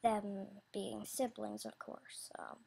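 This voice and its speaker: Casting: female announcer for a casual audition call, husky and creaky, rambling, apologetic, unsure. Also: medium pitch, little pitch movement, slow pace, dark tone. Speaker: animator describing characters for voice auditions.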